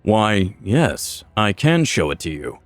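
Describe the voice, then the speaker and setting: A man voicing a playfully cruel male necromancer in a storytelling narration, slow and drawn-out with mock courtesy. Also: lowish voice, sing-song, no echo, soft background music. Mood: amused, menacing. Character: sinister pale undead male necromancer, playfully cruel, amused.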